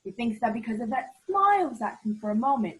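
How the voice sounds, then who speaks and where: A woman playing a blunt, hot-tempered and jealous English gentleman in a 1940s style radio play. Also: a little high, very animated, fast talker. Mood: irritated. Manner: blunt, petulant.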